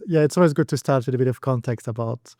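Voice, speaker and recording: A man from Belgium, a company co-founder, telling his story in a calm, explanatory way on a podcast. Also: medium pitch, quick pace, no room sound, studio quality. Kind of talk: casual conversational podcast chat.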